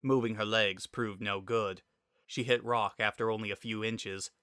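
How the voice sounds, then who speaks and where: A man reads a dramatic story as its narrator. His voice is fairly low with a bright, forceful edge, and the recording is dry and close. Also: steady tone, normal speed, clear, resonant tone, no echo.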